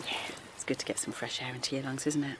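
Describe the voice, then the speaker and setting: An actress playing a kindly middle-aged mother of two grown sons, chatty and reassuring, with a quiet worried edge. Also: rumbling low, steady tone, quick pace, noisy background. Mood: warm reassuring. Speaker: campaigner. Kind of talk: naturalistic audio drama acting. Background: faint outdoor seaside ambience.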